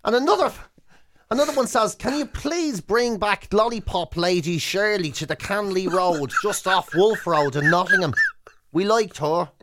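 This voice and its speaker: A woman's voice: medium pitch, animated, moderate pace, a bit bright. A woman with an Irish accent co-hosting casual comic radio banter, amused and incredulous in manner. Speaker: radio co-host.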